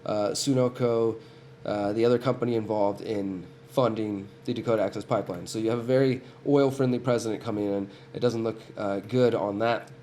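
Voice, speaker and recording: A man's voice: lowish voice, steady tone, brisk, warm tone, slight background noise. A man with a cold hosting a weekly live stream, talking earnestly and directly to his viewers, often restarting his sentences. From United States. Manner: earnest, talks straight to viewers, frequent restarts. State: has a cold. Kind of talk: casual live-stream commentary.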